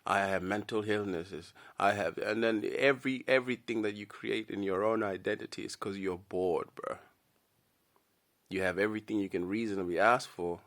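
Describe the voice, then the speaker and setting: Gravelly low-voiced man ranting bluntly. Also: fairly bright tone. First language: Dinka. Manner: blunt, slangy, keeps calling the listener "bro"; halting, repeats words while thinking. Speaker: vlogger. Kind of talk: casual opinion rant to camera.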